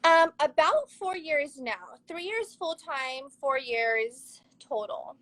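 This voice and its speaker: A young woman from Serbia, speaking English, talks in a high, bright register. She is an upbeat, chatty interview guest who laughs easily and tells her story casually. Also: animated, takes it slowly, low-quality recording. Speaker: guest, model and actress.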